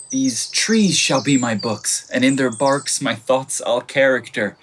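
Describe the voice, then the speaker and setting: A man playing an earnest young lovesick gentleman in Shakespearean verse for a radio play. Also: mid-range voice, a little hiss or hum. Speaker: student actor.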